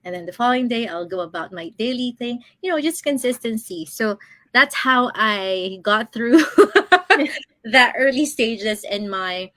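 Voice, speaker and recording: A woman's voice: a little high, animated, unhurried, a bit bright, no echo. A woman in her thirties, a guest on an interview show, chatting casually about her own experience and laughing often between thoughts. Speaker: guest, online business coach.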